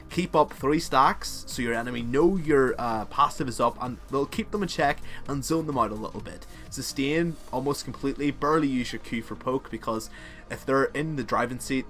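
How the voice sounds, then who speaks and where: A young man with a Northern Irish accent talks through a video game guide in a casual, rambling way, often dropping in fillers. His pitch sits in a middling range for a man. Faint music plays quietly beneath his voice. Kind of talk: game strategy tutorial voice-over.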